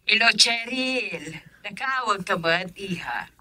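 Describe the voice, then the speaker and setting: A wealthy older Filipino matron in a radio drama, teasing and self-satisfied. Her delivery is unhurried and animated, with a sharp, bright edge. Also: medium pitch, exaggerated voice. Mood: smug, teasing.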